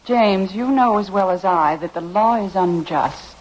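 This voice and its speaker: An actress playing a gentle, patient yet principled mother and wife in a 1950s radio drama. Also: medium pitch, measured pace, natural voice. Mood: firm, pleading. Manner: polite, patient, proper. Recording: dull, noisy 1950s radio recording.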